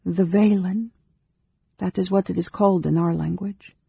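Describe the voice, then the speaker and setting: A woman voicing an earnest, nervous woman of a long-lived secretive race in a storybook, speaking haltingly and with emotion. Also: on the low side, fairly even intonation, creaky, dark tone, breathy edge, dry recording, lo-fi sound, voice pushed up, exaggerated voice. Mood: earnest, flustered.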